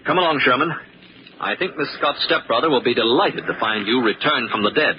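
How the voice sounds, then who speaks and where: An actor playing a tough but reassuring private detective in an old-time radio drama, firm, plain-spoken and slangy. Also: male voice, medium pitch, expressive, brisk, close to an everyday voice. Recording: dull, noisy old radio recording.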